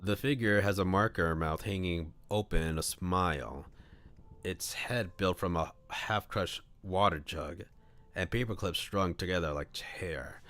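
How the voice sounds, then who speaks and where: A man's voice: low voice, measured pace, fairly bright tone. A man reading a visual novel aloud on a livestream, moving between narration and casual commentary.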